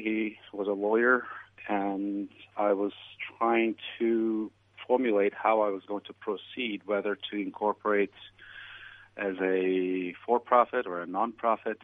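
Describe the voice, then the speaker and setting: Low-voiced man on a tinny line. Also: no room sound. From Turkey. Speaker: guest. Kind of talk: interview answers.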